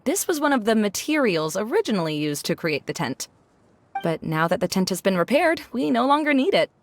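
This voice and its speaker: An actress playing a candid, easygoing adult woman in a game cutscene, relaxed and matter-of-fact. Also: mid-range voice, brisk.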